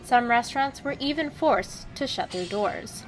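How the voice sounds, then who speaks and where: Young woman reporting, high voice. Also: soft background music. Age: young adult. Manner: even, measured reporting. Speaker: student reporter. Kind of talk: scripted news narration. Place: outdoors in a city park with traffic.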